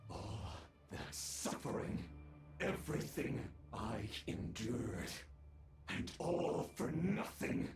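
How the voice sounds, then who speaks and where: An actor playing a menacing undying villain in a video game, speaking in a grandiose, theatrical manner. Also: male voice, medium pitch, very animated, slow pace, a little mellow, roomy sound. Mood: bitter. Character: menacing undying male villain, torn apart and reborn.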